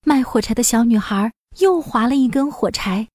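A woman storyteller reading a scripted fairy tale for young children in an expressive manner. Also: a little high, moderate pace, no room sound, studio quality.

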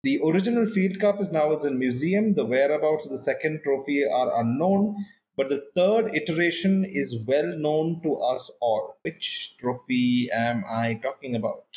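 A man's voice: on the high side, normal speed, a little mellow, poor audio. A man co-hosting a casual quiz podcast, thinking aloud, laughing at himself, then reading out a trivia question. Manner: self-deprecating, thinks aloud, laughs often. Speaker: co-host, quiz contestant.

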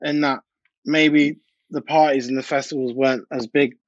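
A man's voice: medium pitch, steady tone, normal speed, no room sound, dull audio. A British man, a DJ and producer, chatting informally and anecdotally as an interview guest. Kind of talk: casual interview chat.

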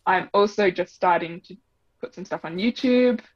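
A woman's voice: on the low side, moderate pace, no room sound. A young woman with a blended Australian and English accent, chatting as an interview guest with lots of fillers and laughs. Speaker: guest, double bass player. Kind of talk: casual interview chat. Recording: dull, slightly muffled dry audio.

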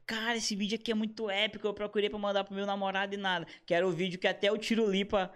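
Animated man in his thirties telling stories. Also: high voice, rapid-fire. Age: 30s. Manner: animated, slangy storytelling with quoted asides. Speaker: comedian and motion designer. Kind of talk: casual podcast conversation.

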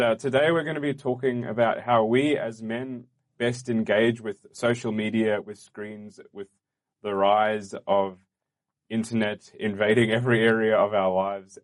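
Earnest low-voiced male podcast host. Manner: earnest, measured and welcoming, with fillers. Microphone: studio microphone.

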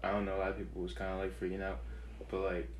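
Deep-voiced young man in an echoey room. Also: monotone, racing, slight background noise. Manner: easygoing, hedging with lots of "like". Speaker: podcast co-host. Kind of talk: casual chat. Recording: roomy echoey recording.

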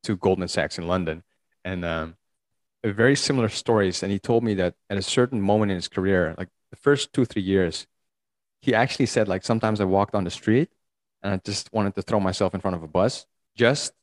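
Low-voiced male podcast host. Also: a little fast. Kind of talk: casual interview chat.

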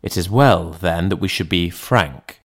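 A man reading the part of a sober, rational gentleman lawyer in an audiobook, in a measured, formal manner. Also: deep voice, creaky, full character voice. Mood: frank, serious.

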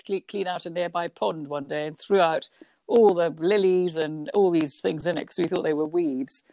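A middle-aged woman from Kenya, a guest telling childhood stories in a relaxed, lively interview with frequent laughs. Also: fairly low pitch, moderate pace, no room sound, rough recording. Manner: lively, laughs often, many restarts. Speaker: guest, company founder. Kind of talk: casual conversational interview.

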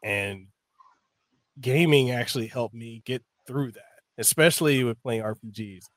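A man's voice: mid-range voice, unhurried, fairly bright tone, dry recording, studio quality. Rambling male podcast host from Samoa. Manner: loose and rambling, full of "like" and restarts. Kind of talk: casual interview chat.

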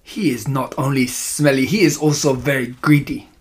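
Male narrator as evil storybook witch, imperious, malevolent, slow emphatic delivery, broken grammar. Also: medium pitch, steady tone, husky, natural voice. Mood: commanding, scornful. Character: imperious, malevolent female Grand High Witch plotting against children.

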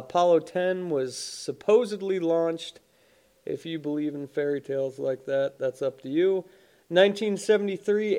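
A man's voice: on the high side, darkish tone, smooth. A man hosting a casual podcast, congested and phlegmy, swearing often and joking offhand as he reads through dates in history. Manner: swears often, offhand jokes, stumbles over names. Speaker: podcast host. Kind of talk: casual solo podcast, reading daily history.

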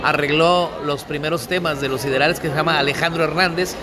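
A fairly high-voiced middle-aged man, chatting as a guest in an interview. He tells his stories loosely, with slang and laughter. Behind him, a clear murmur of a crowd. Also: steady tone, fast. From Mexico. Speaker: singer-songwriter guest. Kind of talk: casual interview chat.

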